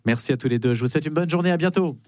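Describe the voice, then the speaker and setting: A man's voice: a little high, racing. A man hosting a live radio interview show, introducing and questioning guests. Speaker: radio host.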